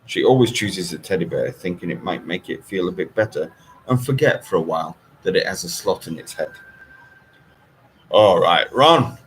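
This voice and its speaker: A man narrates a story in a low voice. His delivery is expressive, with lively movement in pitch. There is faint noise in the background. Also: fairly quick, rough recording. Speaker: author reading own novel.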